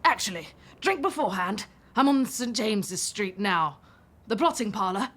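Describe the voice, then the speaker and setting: A woman's voice: medium pitch, animated, normal speed, fairly bright tone. A woman playing a brusque, imposing British man in his late forties, with clipped, punchy, staccato delivery in an audio drama. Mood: eager, furtive. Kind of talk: audio drama phone-call dialogue.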